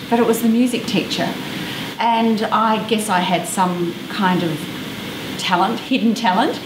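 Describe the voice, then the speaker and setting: An Australian woman from South Australia, an orchestral double bass player, talking reflectively and with enthusiasm in an interview. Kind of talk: casual interview. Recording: echoey room with background noise.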